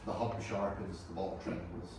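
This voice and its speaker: A man's voice: low-pitched, flat delivery, brisk, darkish tone. A man narrating scripted stage directions at a screenplay table read. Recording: distant, echoey room with clear noise.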